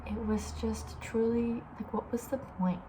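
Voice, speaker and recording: A young woman with a soft, breathy voice, often near a whisper, chatting casually and with playful opinions in an ASMR style. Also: a little high, steady tone, a little slow, background noise. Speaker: ASMR video creator.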